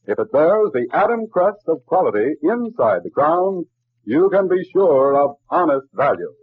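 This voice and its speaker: A man's voice: a little high, big pitch swings, measured pace. A man delivering a scripted 1940s radio advertisement as a polished, emphatic announcer. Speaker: commercial announcer. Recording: muffled old radio broadcast.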